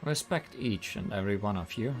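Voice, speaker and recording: A commanding woman sage delivers a measured, oratorical address to her followers in a fan-dubbed cartoon, as a man voices her. The voice sits fairly low and steady, and soft music plays faintly behind. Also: vocal fry. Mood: solemn. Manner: solemn, oratorical, measured speechmaking.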